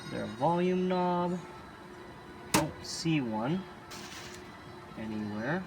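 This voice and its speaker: A man's voice: a little high, animated, slow, gravelly, over loud music. A man giving casual, unscripted hands-on commentary as a hobbyist, offhand and often muttering to himself while he handles equipment. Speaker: hobbyist arcade machine video maker.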